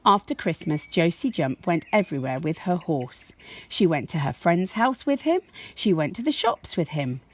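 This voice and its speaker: A woman reading a children's story aloud like a teacher, expressive and measured in her storytelling. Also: lowish voice.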